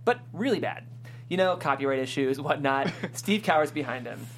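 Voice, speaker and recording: A woman's voice: low-pitched, lively intonation, normal speed, soft background music. A woman reading stage directions as narrator at a live script table read.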